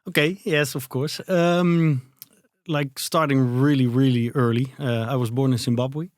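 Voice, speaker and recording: A man's voice: mid-range voice, fairly even intonation, unhurried, a little mellow, no echo, studio quality. A Dutch man in his thirties, a native Dutch speaker, answering interview questions in English with a Dutch accent, hesitant and searching for words with many fillers. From Netherlands. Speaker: guest, company founder.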